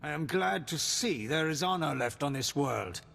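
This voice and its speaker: An actor playing a proud, defiant captured male noble with a creaky voice in a video game. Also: on the high side, animated, normal speed, fairly bright tone, long reverb tail, slight background noise. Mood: grudging respect.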